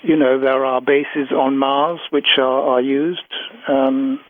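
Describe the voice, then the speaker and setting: A man being interviewed on the radio, talking earnestly in a halting way with repeated words. His voice is mid-pitched and comes over a dry, tinny phone-like line. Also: level tone, dry recording. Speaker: interview guest, author and researcher.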